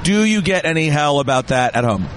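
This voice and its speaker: A man's voice: on the high side, animated, a little fast, fairly bright tone, a little hiss or hum. A man hosting a casual interview, rambling and restarting his questions. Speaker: podcast host. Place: busy fan event.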